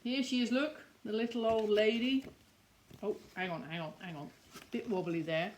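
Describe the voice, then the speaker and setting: A woman reading a picture book aloud to young children in an expressive way, with chatty explaining asides. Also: medium pitch, normal speed, slight background noise, low-quality recording.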